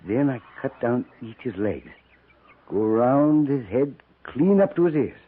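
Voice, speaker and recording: An actor playing a coarse, boastful buffalo skinner in a 1950s radio western, talking in a rough, folksy, rambling frontier manner. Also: male voice, medium pitch, expressive, measured pace, narrow, tinny audio. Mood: boastful relish. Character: boastful, earthy old-West buffalo skinner, coarse and relishing. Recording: thin, band-limited 1950s radio recording.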